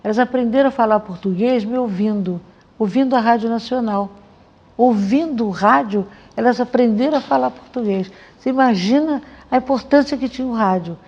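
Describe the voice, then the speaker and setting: A woman's voice: a little high, brisk, darkish tone, slight background noise. A woman recalling memories with conviction in a documentary interview. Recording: dull lo-fi recording.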